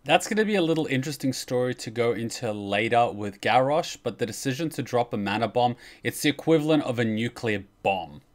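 A young adult man hosts a casual livestream from an indoor bedroom setup. His voice sits at an average male pitch, and he explains things in a conversational streamer style. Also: animated, a little fast, hardly any pauses. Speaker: streamer, reaction host.

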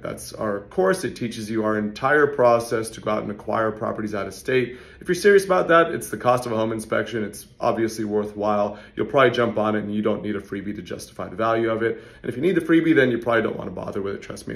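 Hoarse man with a gravelly, worn voice. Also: fairly low pitch. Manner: fast, conversational, salesy asides. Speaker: real estate investor and channel host. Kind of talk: casual talking-head explainer. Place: indoor office hallway.

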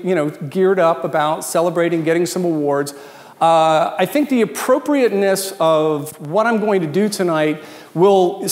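High-pitched American man speaking in echoey hall. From Washington, D.C., United States. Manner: animated, joking, conversational, with emphatic asides. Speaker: keynote speaker, consultant. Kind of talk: informal after-dinner keynote talk. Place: large event hall with dinner tables, indoors. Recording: echoey hall with long reverb.

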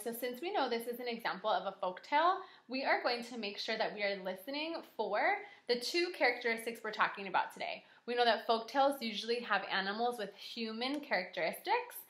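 A young woman teaching kindergarten, reading aloud and prompting children with animated, encouraging questions. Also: a little high. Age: young adult. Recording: echoey indoor room.

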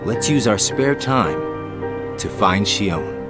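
A man voicing a cool, guarded but protective young man in a video game, in a measured, terse manner. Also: fairly low pitch, lively intonation, over loud music. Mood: guarded, serious.

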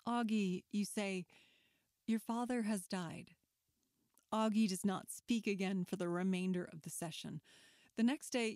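A woman reading scripted horror film narration in a measured, clinical, detached manner. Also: medium pitch, a little mellow, no room sound, studio quality.

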